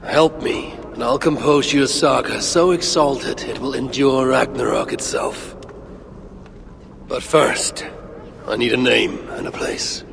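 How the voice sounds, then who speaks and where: A man playing a proud, brooding Norse god and grim father in a video game, speaking in a measured, solemn, commanding way. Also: mid-range voice, crowd noise. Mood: wry, persuasive. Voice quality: gravelly. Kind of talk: video game cinematic acting. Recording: music under the voice. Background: cinematic game music.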